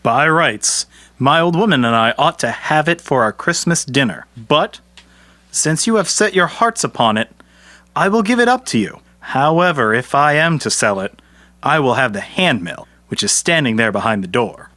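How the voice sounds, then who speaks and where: An actor playing a poor, canny, good-natured peasant man in an audio folktale, speaking deliberately. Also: medium pitch, lively intonation, sharp tone, no room sound. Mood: confident, sly. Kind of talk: audio storybook character acting.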